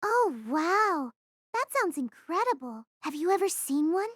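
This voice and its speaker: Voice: extremely high pitch, very animated, measured pace, fairly bright tone, no room sound, clean studio sound, heavily acted. An actor playing an innocent, curious small boy learning magic, eager and wide-eyed, in an audio drama. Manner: wide-eyed, eager, simple childlike phrasing. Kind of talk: audio drama character acting.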